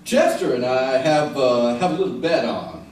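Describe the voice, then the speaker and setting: An actor playing a stubborn but decent Old West marshal in an old-time radio western, talking haltingly with much hemming and hawing. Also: male voice, mid-range voice, big pitch swings, a little mellow, a little hiss or hum, close to an everyday voice. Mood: awkward, stalling. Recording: roomy, distant old radio recording, music.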